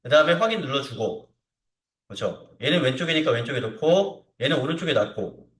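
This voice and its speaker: A man teaching software step by step in an informal way, often checking in with short tag questions. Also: mid-range voice, big pitch swings, a little fast. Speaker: CAD instructor. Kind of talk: hands-on software tutorial to students. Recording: roomy echo, distant mic.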